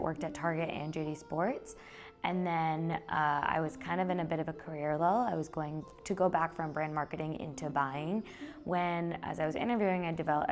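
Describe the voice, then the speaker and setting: A young woman, founder of a clothing company, talking conversationally in an interview. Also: sits low. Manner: relaxed, conversational. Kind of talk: interview, personal story.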